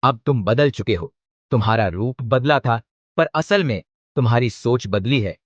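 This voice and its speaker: A wise old owl sage from a children's cartoon, voiced by a man. He teaches in a measured, instructive manner, at a middling pitch. The recording is close and dry. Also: animated, dry recording, lo-fi sound, close to an everyday voice. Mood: calm, wise. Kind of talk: cartoon story character voice.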